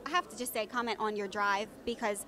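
A woman's voice: medium pitch, fairly quick. A woman in her thirties interviewing casually, with an encouraging, informal manner. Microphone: handheld interview microphone. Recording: busy chatter in the background.

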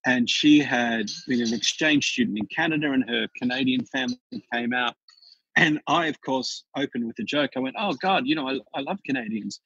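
Aussie guy on a podcast, kinda husky, tells stories all over the place, dry dull sound. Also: medium pitch, moderate pace, dry recording. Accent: Australian. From Australia. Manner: chatty storyteller, animated, frequent restarts. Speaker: podcast guest. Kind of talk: casual interview chat.

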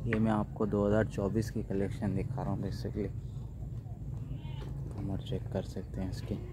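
A man with a Pakistani accent narrates a casual livestock showcase as a farm presenter, speaking haltingly in short phrases. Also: low voice, little pitch movement. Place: open tented cattle yard. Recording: noisy handheld recording with animal sounds.

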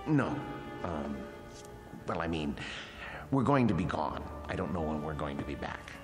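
A man's voice: rumbling low, very animated, a little slow, full character voice. An actor playing a nervous, secretive middle-aged man who stammers and dodges questions, in a 1960s TV drama. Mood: guarded. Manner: stammering, evasive, turns defensive. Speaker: wax museum caretaker. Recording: vintage TV soundtrack with music underneath.

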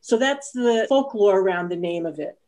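A woman's voice: medium pitch, lively intonation, moderate pace, darkish tone. A woman who works as a park interpreter gives an informal historical explanation on a video call. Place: indoors at home, video call. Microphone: video call microphone.

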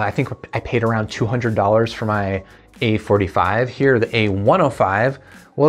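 Man presenting a review over music. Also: on the low side. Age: 30s. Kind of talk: casual review to camera. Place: indoor home studio with desk. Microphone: lavalier lapel mic.

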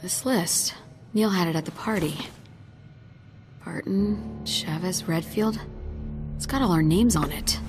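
A guarded young game heroine, played by a woman. She speaks slowly and gravely at a medium pitch, restrained, with music behind her. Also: a little mellow, close to an everyday voice. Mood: grave, hurt. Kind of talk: dramatic video game acting.

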